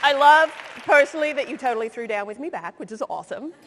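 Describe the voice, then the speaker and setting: A woman's voice: sits high, fast, a faint crowd, slight background noise. Teasing woman coaching on a conference stage. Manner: lively, teasing, blunt. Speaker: career coach, workshop leader. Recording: long hall reverb.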